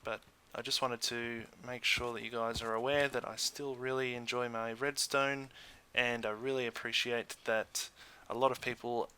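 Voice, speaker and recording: A man's voice: medium pitch, little pitch movement, moderate pace, hardly any pauses, bright, forceful tone, clean tone. A man hosting a gaming video with casual commentary, hesitant and thinking aloud as he goes.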